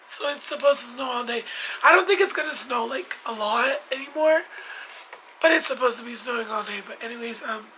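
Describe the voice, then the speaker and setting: A young woman with a hoarse, congested voice from a cold, chatting casually about her reading from home. She rambles, with lots of fillers and restarts. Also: a little high, fairly quick, pushed and bright, slight background noise, rough recording. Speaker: book vlogger. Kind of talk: casual chat. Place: at home in bed.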